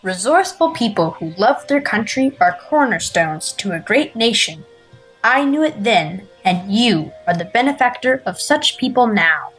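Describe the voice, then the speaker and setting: Woman as a husky, solemn reflecting ruler. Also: on the high side, lively intonation, moderate pace, fairly bright tone, soft background music, rough recording. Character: solemn narrator-ruler reflecting on loyal people. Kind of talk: amateur audio play acting.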